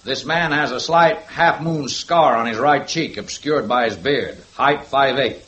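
An actor playing a firm police sergeant who runs a lineup and barks orderly instructions in a 1950s radio drama. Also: male voice, medium pitch, a little slow, fairly bright tone, dull audio, close to an everyday voice. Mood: firm, official. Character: brisk, commanding male police sergeant. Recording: echoey old radio broadcast.

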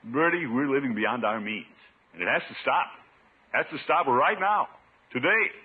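An American actor playing a pompous, good-humored middle-aged uncle in a blustery, grumbling 1950s radio comedy style. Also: male voice, mid-range voice, expressive, moderate pace, breathy edge. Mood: grumbling, exasperated. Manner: blustery, chuckling, grumbling to himself. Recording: tinny, noisy 1950s radio recording.

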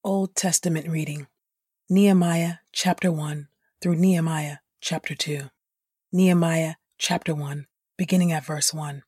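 A woman's voice: sits low, steady tone, unhurried, a little mellow, breathy edge, dry recording. Woman reading scripture, close and dry. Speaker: podcast host and Bible reader. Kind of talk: scripted audio Bible reading.

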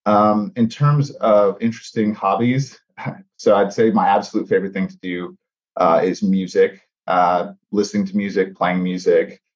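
A very low-voiced man from Mexico and Texas in his thirties, chatting casually as a podcast guest. He talks in a friendly, animated way, peppered with "uh" fillers. The recording sounds a little dull and lo-fi. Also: normal speed. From born in Mexico, raised in South Texas. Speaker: podcast guest, air pollution scientist. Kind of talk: casual interview talk.

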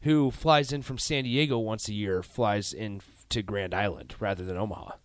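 A man co-hosting a sports radio show, chatting casually with quick restarts. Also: on the low side, no room sound. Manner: animated, chatty, stammering restarts. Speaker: radio co-host.

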